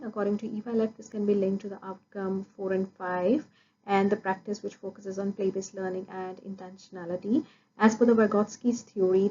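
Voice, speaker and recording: Woman student, warm low-key tone, steady listing delivery, dull lo-fi recording. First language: Punjabi. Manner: steady, listing points with frequent "uh" fillers. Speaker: student presenting an assessment. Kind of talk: prepared academic presentation. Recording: dull lo-fi audio with faint noise.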